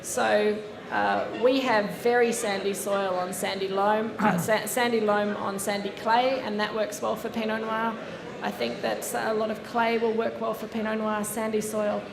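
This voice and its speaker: Gravelly woman moderating in an echoey room. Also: mid-range voice, little pitch movement, normal speed, crowd noise. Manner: thoughtful, hesitant, many fillers and restarts. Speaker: panel moderator and winemaker. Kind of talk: panel discussion at a wine tasting. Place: seminar room with live audience.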